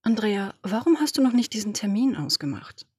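A woman voicing an imperious, domineering female boss who talks in brisk, commanding, dismissive orders. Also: mid-range voice, very animated, slightly rough, no echo, studio quality. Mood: impatient, imperious. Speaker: podfic reader. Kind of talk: German audio drama reading.

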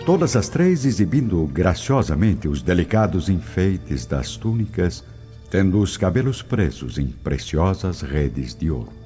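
A man's voice: sits low, sing-song, unhurried, dark tone. A man narrating a scripted radio drama set in ancient Rome in a solemn storyteller's manner. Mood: solemn storytelling. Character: solemn male narrator of an ancient Roman tale. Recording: dull lo-fi audio under music. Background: prominent music.

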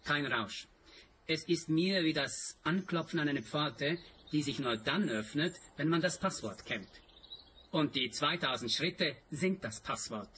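A man's voice: fairly high pitch, expressive, a little slow, bright, forceful tone, slight background noise. A man playing an earnest, obsessive eccentric in a radio play, rapt and solemnly emphatic. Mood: rapt, fervent. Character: earnest, obsessive eccentric male seeker of mystical secrets.

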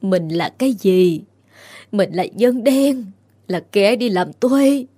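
A woman voicing a frail, grieving old peasant mother who pleads in slow, wailing tones in an audio story. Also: mid-range voice, lively intonation, mellow, husky. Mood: weary. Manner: wailing, slow sobbing pleas.

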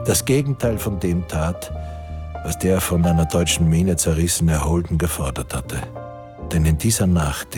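An actor playing a solemn, measured narrator of a war story in a radio drama. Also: male voice, low-pitched.

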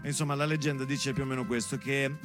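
A man's voice: medium pitch, steady tone, fast, over music. A man delivering a storytelling theatre monologue as if to his daughter, with conversational asides and dramatic emphasis. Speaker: stage actor and monologuist.

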